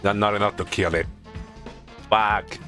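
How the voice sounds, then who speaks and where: Guy streaming a game, bright forward voice, thinks out loud and repeats himself, music behind. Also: lowish voice, fairly even intonation, measured pace. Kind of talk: casual gameplay commentary.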